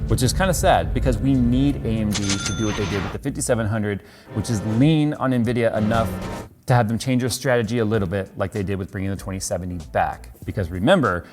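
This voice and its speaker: A man's voice: fairly low pitch, fast talker, music in the background. A man talking casually and candidly to his viewers as a tech YouTuber, a bit exasperated.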